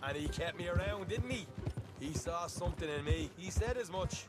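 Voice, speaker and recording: An actor playing a brash, boastful young western outlaw, chatty and self-justifying, in a video game cutscene. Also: male voice, high-pitched, expressive, normal speed. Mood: defensive boasting. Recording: game audio with loud outdoor ambience. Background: horse hooves, outdoor ambience.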